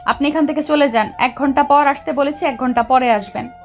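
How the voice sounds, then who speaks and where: A woman's voice: high-pitched, fairly quick, pitched above the performer's own voice. A woman voicing an angry, domineering young female boss in an audio story, scolding and giving orders. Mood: cold, commanding. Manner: scolding, commanding. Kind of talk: Bengali audio story character dialogue. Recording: music under the voice.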